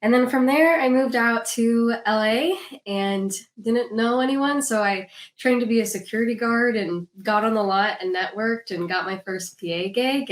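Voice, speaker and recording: A young woman from Oklahoma, a TV writer and producer, speaking plainly on a panel. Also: medium pitch. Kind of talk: casual panel talk. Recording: echoey room.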